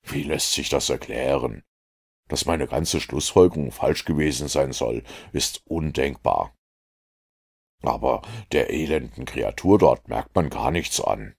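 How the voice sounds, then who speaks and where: A man reading a detective novel aloud as an audiobook, in steady storytelling narration. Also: rumbling low, measured pace, creaky, breathy edge. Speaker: audiobook reader.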